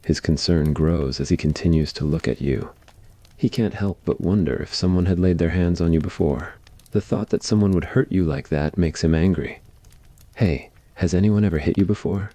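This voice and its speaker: Very deep-voiced man narrating ASMR fiction. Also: normal speed, warm tone, no echo. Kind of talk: scripted ASMR story narration.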